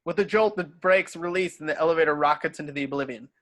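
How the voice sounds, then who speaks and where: Higher-pitched male script narrator. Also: fast, a bit bright, no room sound, studio quality. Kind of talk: scripted narration, reading stage directions.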